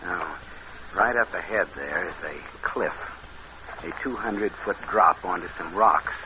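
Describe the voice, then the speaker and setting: भारी, नीची आवाज़ वाला चालाक षड्यंत्रकारी आदमी. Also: male voice, low-pitched, unhurried. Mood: guarded. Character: scheming, cold-blooded male con man, wary and smooth-talking. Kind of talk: 1940s radio noir acting and narration. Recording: noisy muffled old radio recording.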